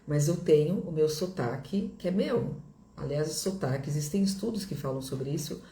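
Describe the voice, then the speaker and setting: Woman, middle-aged, Brazilian Portuguese accent, English teacher, warm tone, reassuring and repetitive, echoey room recording. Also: sits low, animated, normal speed, slight background noise. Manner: reassuring, repeats herself, checks in with "ok?". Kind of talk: direct-to-camera video lesson.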